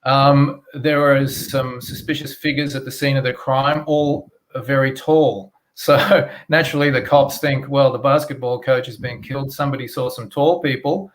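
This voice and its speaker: A man's voice: mid-range voice, steady tone, normal speed, few pauses, low-quality recording. A man, an author talking casually and reading his crime fiction aloud. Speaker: author, interview guest.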